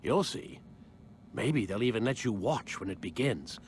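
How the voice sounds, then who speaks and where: An actor playing a condescending, secretive scientist captor in a video game, wry and clipped. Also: male voice, fairly low pitch, animated, a little slow, slight background noise. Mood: impatient, smug. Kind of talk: dramatic video game acting.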